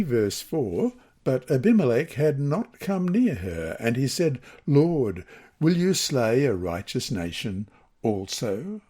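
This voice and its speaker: Husky man reading a lesson. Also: mid-range voice, warm tone. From Queensland, Australia. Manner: measured, slows down for quoted scripture. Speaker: Bible lesson reader. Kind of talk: scripted reading of a Bible study lesson.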